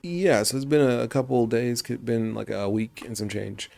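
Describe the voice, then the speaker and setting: A young man, raspy from just getting over being sick, chats casually and thinks aloud while working on his game on a live stream. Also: mid-range voice, fairly even intonation, normal speed, mellow. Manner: laid-back, rambling, thinking aloud. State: raspy voice, just recovering from illness. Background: faint background music and keyboard typing.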